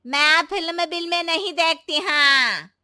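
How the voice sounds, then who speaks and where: A woman's voice: very high-pitched, takes it slowly, bright, forceful tone, no room sound, studio quality, heavily acted. A woman playing a domineering older mother-in-law in a cartoon story, with a nagging, sarcastic way of drawing out her taunts. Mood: dismissive. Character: domineering, scolding older Indian mother-in-law, village matriarch. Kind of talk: Hindi cartoon story dubbing.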